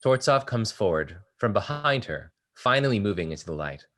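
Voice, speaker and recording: A man reading stage directions aloud at a play table read, plain and steady. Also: lowish voice, normal speed.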